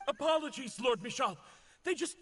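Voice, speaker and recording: A man's voice: very high-pitched, expressive, normal speed, fairly bright tone, in a large hall, exaggerated voice. A man playing a panicked, deferential soldier in a video game. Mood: panicked, apologetic. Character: panicked, deferential male underling soldier reporting defeat.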